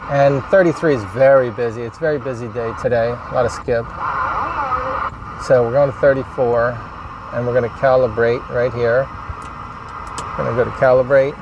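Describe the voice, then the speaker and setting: A man's voice: medium pitch, fairly even intonation, moderate pace, long pauses, dull audio, noisy background. A man giving a casual, plainspoken hands-on how-to narration as he works on a project. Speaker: DIY video creator.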